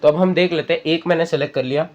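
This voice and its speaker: A man's voice: fairly high pitch, fairly even intonation, fast, darkish tone, dull audio. A man hosting an app tutorial, explaining step by step and addressing viewers as friends. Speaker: tutorial host. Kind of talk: spoken screen-reader app tutorial.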